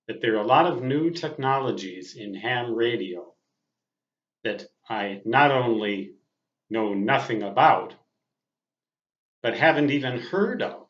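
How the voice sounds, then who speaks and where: A man in his sixties with a mid-pitched voice talks slowly and deliberately, in a casual, reflective monologue. He halts between phrases and leans on some for emphasis. The sound is slightly dull, with noticeable room echo. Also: fairly bright tone. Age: 60s. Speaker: veteran amateur radio operator. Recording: muffled audio in a roomy space.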